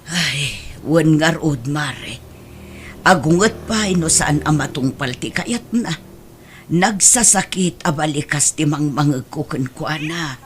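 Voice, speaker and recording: Low-voiced, meek, weary mother. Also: slow pace, a little hiss or hum. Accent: Filipino. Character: long-suffering, meek older mother with aching legs. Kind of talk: radio drama acting.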